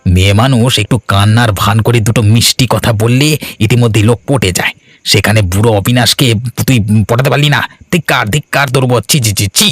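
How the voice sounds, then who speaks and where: A man's voice: medium pitch, brisk, fairly bright tone, no room sound, clean studio sound, close to an everyday voice. A man playing a greedy, shameless village father in a cartoon, berating and sneering as he talks. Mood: scornful, berating. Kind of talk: cartoon voice acting.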